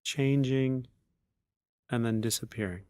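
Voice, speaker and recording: A man leading a guided meditation as a teacher, speaking in unhurried, measured phrases with long pauses. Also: mid-range voice, a little mellow, smooth, dry recording, studio quality.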